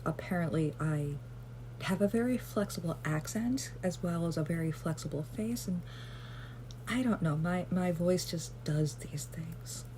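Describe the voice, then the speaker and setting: A woman vlogger rambling gently through casual self-care advice, with lots of fillers and self-deprecating asides. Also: fairly low pitch, a little slow, a little hiss or hum.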